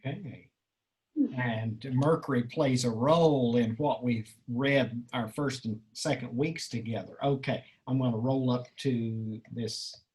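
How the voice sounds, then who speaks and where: A man's voice: medium pitch, steady tone, measured pace, darkish tone, low-quality recording. A man casually hosting a group reading, thinking aloud and encouraging the readers as he organizes parts and stage directions.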